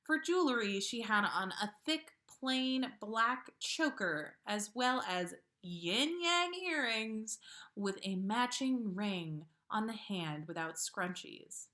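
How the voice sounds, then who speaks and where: A woman reading a fan fiction story aloud on a livestream, in a measured way with pauses. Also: mid-range voice, lively intonation, pushed and bright. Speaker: podcast host reading listener fan fiction.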